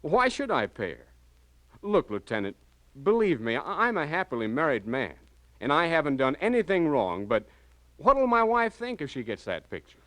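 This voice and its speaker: Flustered small town husband, old 1940s radio drama, stammers, voice jumps around a lot. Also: male voice, a little high, sing-song, moderate pace, a bit bright, no room sound. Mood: distressed. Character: anxious small-town married businessman pleading with police.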